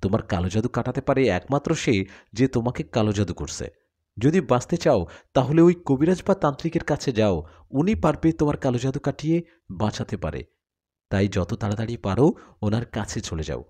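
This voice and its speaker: A man's voice: medium pitch, animated, brisk, dark tone, close to an everyday voice. A man voicing a grave, helpless village healer in a horror story, solemn and cautioning. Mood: grave warning.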